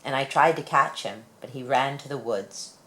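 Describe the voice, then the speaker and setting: A woman reading a children's picture book aloud in an expressive storytelling manner. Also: extremely low pitch, moderate pace, background noise. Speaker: storybook reader.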